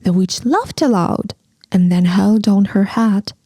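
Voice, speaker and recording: Slow, mellow woman reading a storybook. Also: mid-range voice, lively intonation, husky, no echo, studio quality. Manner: measured, dramatic storytelling with drawn-out words. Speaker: teacher reading a picture book aloud. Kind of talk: expressive children's story narration.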